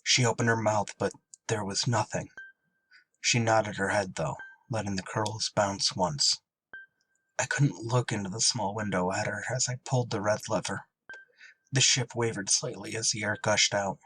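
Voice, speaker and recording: An actor playing a somber, haunted shuttle pilot in an audio drama, grave and restrained. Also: male voice, fairly low pitch, fairly even intonation, normal speed, a bit bright, slight background noise, lightly acted, rough recording. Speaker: lead actor and narrator.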